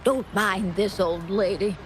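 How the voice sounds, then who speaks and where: An actress voicing a kindly, frail elderly mother in a video game, with a gentle, hesitant, unhurried manner. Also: medium pitch, steady tone, slight background noise, natural voice. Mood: delighted wonder. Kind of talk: scripted video game voice acting. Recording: music playing behind the voice. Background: game music.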